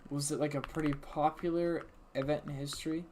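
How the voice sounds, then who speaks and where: A man's voice: a little high, fairly even intonation, brisk, a little mellow. A man streaming a game, chatting casually with viewers and thinking aloud with many restarts. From English-speaking country. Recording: background keyboard clicks and noise. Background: keyboard typing and mouse clicks.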